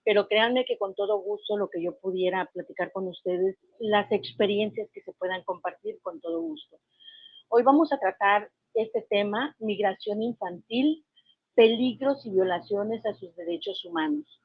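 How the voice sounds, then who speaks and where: A woman's voice: mid-range voice, lively intonation, moderate pace, breathy edge, no echo. Woman lecturing, dry dull audio. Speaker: guest lecturer, lawyer. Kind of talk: informal lecture.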